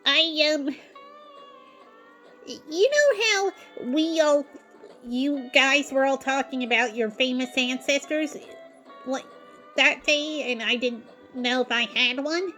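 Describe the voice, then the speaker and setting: A woman voicing an excitable, eager young male sponge fry cook in a cartoon audio drama, with a stammering delivery. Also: sits high, takes it slowly, soft background music, voice pushed up, full character voice. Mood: eager, excited.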